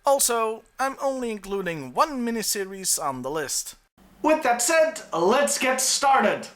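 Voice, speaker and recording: A man's voice: very high-pitched, big pitch swings, a little slow, a bit bright. A man narrating a scripted countdown review as the host.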